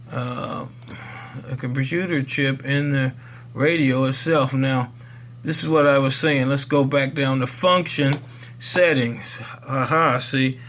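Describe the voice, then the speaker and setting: A man's voice: medium pitch, fairly bright tone, slight background noise. A man hosting a casual how-to demonstration in a folksy, rambling way. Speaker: tutorial video host.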